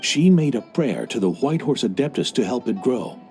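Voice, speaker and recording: A man's voice: medium pitch, expressive, normal speed, darkish tone, soft background music, natural voice. An actor playing a pious, boastful old innkeeper in a video game, telling his story with animation. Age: older.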